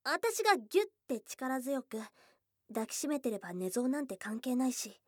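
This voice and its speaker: A woman's voice: sits high, very animated, moderate pace, no room sound, studio quality. A woman playing a young goblin girl, a blunt, teasing yet shy girlfriend, in an intimate ASMR voice drama. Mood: bashful. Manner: blunt tomboyish speech, teasing and bashful. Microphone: close dry studio microphone.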